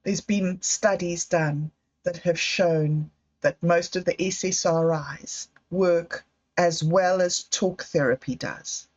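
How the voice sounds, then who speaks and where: A woman hosting a livestream, talking slowly and candidly in a meandering personal monologue. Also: fairly low pitch, fairly even intonation, a little mellow. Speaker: livestream host. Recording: dull lo-fi livestream audio.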